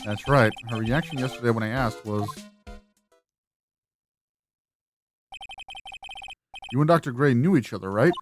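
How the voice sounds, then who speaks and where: A woman's voice: very deep voice, fast talker, soft background music, pitched below the performer's own voice. A woman voicing an earnest young male defense lawyer, wryly skeptical, with dry asides in a video game dub. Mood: probing. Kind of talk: video game dialogue and inner monologue.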